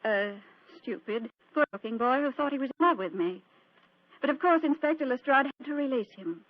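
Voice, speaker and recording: A woman's voice: high voice, expressive, normal speed, no echo. An actress playing a poised, imperious young widowed lady in an old-time radio drama, with a gracious, theatrical and commanding manner. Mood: composed, defiant. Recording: dull, lo-fi old radio recording.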